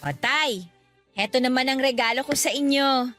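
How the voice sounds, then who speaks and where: A woman's voice: high-pitched, normal speed, fairly bright tone, faint music behind. A woman playing a joking, affectionate young Filipina daughter in a Tagalog radio drama. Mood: playful. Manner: joking, mock-complaining.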